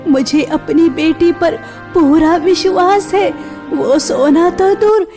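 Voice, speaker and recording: A woman's voice: extremely high pitch, mellow, exaggerated voice. A woman playing an elderly, protective mother, earnest and emphatic, in a melodramatic cartoon story. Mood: protective, indignant. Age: older.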